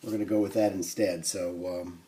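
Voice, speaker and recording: A man hosting a hands-on repair video, explaining things informally and thinking aloud with hesitant pauses. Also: low-pitched, flat delivery, dark tone. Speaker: host of a record player restoration video. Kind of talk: casual hands-on demonstration.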